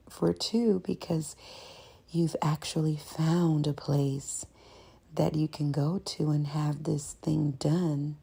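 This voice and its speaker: Slow, breathy, gravelly woman narrating ASMR. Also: on the low side. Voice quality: breathy, raspy, often whispering. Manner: unhurried, rambling, soft-spoken. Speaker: scratch therapist hosting ASMR videos.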